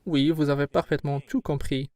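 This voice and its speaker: A man giving a formal, measured voice-over as a company founder in a scripted interview. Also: a little high, level tone, warm tone. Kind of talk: scripted dubbed interview reading.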